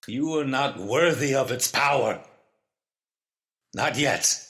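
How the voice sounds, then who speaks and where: A man's voice: medium pitch, slow pace, bright, forceful tone. A man playing an ancient alien master in a motion comic, speaking in a grave, portentous, stern way. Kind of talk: dramatic motion comic acting.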